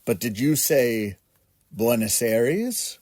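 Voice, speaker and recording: A man plays a genteel storybook collie, courteous, naive and easily astonished. The voice is mellow and unhurried, with an expressive swing in pitch. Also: no room sound, studio quality. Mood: courteous astonishment. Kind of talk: children's storybook character voice.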